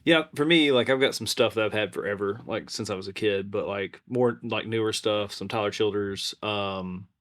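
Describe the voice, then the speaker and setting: Low-voiced man in relaxed podcast conversation. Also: moderate pace, hardly any pauses. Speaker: podcast co-host. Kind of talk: casual chat.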